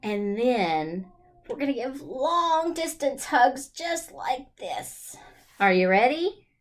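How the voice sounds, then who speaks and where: Female children's storytime reader, higher-pitched, unhurried, singsong and question-led. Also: sing-song. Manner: singsong, asks young listeners questions and answers them. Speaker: children's librarian leading storytime. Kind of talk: children's storytime read-aloud and chat.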